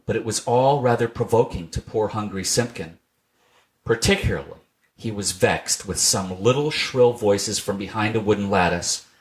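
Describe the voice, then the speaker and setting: A man narrating a children's storybook in an expressive, scripted reading style. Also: lowish voice, normal speed, rough recording. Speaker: storybook reader.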